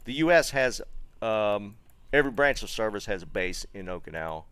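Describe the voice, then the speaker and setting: A man's voice: lowish voice, normal speed, clear, resonant tone, no echo. A middle-aged man with a Southern American accent from North Carolina, chatting casually while streaming a game and answering viewer comments, with plenty of fillers and restarts. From North Carolina, USA. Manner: relaxed, rambling, many fillers and restarts.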